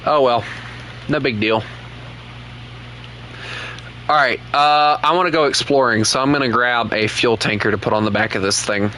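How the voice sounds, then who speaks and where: A man's voice: medium pitch, normal speed, long pauses, slight background noise. A man with a Southern US accent, casually commenting to viewers while playing a truck game. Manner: relaxed, chatty, talks to viewers as he plays. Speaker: game streamer.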